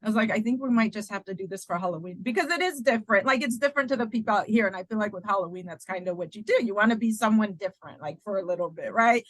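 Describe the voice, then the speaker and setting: A woman's voice: medium pitch, very fast, hardly any pauses, a bit bright, no room sound, poor audio. Chatty woman host, dry close sound. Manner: agreeable, chatty, laughs often. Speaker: podcast host. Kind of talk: casual interview.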